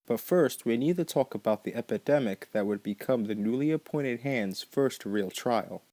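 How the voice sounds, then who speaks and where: A man's voice: mid-range voice, darkish tone, no echo. A man hosting a podcast, reading scripted narration. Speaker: podcast host.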